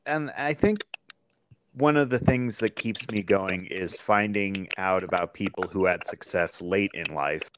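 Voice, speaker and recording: A man's voice: low voice, unhurried, slight background noise. A man in his thirties hosting a podcast, chatting easily and agreeably with a guest. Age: 30s. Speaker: podcast host. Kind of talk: casual chat.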